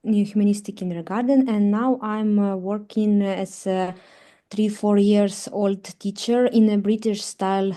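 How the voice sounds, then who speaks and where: A woman from Ukraine, a kindergarten teacher, introducing herself haltingly in an online class. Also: mid-range voice, steady tone, mellow. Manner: halting, many fillers. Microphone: video call.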